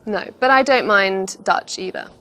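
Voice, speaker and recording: A woman's voice: mid-range voice, normal speed, a bit bright. A woman giving casual dating commentary as a co-presenter, explaining things conversationally. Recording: faint background noise.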